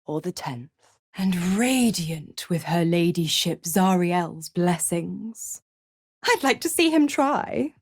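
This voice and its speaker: A woman's voice: lowish voice, expressive, slow pace, husky, no room sound, clean studio sound, exaggerated voice. A woman playing a seductive, mocking devil villain in a video game, theatrical and taunting, with a cruel possessive streak. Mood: possessive, menacing. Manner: sultry, taunting, theatrical, drops into whispers. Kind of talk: dramatic video game voice acting.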